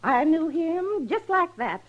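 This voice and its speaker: A woman's voice: extremely high pitch, big pitch swings, slow pace, highs cut off, full character voice. An actress playing a chatty, hospitable old ranch widow and doting mother in a Western radio drama, with a rural Western American accent. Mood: proud, happy. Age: older. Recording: noisy old radio recording.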